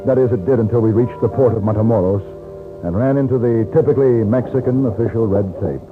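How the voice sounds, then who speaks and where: A man's voice: mid-range voice, fast talker, over music, lightly acted. Radio western lead, male actor: wry, assured American, mid-range voice, thin old broadcast sound. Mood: narrating. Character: self-assured, wry western gentleman adventurer. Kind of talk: old-time radio drama acting, first-person narration. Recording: tinny old radio recording, band-limited.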